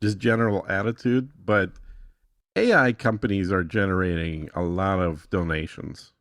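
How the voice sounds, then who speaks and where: Male podcast guest, lowish voice, dry close sound, casual and assured explainer. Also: moderate pace, a bit bright, dry recording. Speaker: podcast guest demonstrating software.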